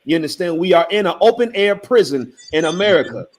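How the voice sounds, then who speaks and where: A man on an online panel discussion, telling a story with acted-out dialogue and building to forceful emphasis. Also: sits high, lively intonation, fast talker. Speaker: panel member.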